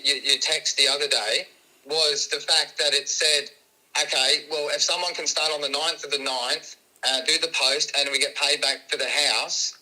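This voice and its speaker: A man on a phone call, halting and stammering as he defends himself in a tense argument. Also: fairly high pitch, pushed and bright.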